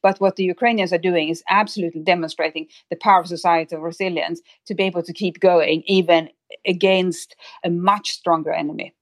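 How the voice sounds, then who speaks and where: A woman speaking as an expert guest in an interview, explaining things in an articulate, measured way. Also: on the low side, fairly even intonation, no echo. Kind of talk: spoken analysis in an interview.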